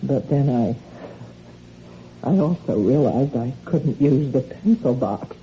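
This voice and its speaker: A woman's voice: a bass voice, animated, dark tone, full character voice. An actress playing an anxious, defensive married woman in an old-time radio mystery drama. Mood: wistful, confessing. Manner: defensive, quick to deny. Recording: dull, muffled radio drama with noise.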